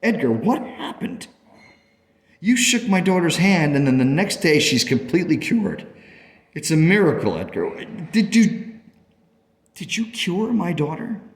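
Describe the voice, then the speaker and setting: A man's voice: fairly low pitch, lively intonation, measured pace, long pauses. An actor playing an earnest, grateful father in a radio play, telling his story emphatically and emotionally. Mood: urgent, amazed. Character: earnest, grateful father of a young daughter, searching for answers. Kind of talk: dramatic first-person storytelling. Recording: long reverberant hall echo.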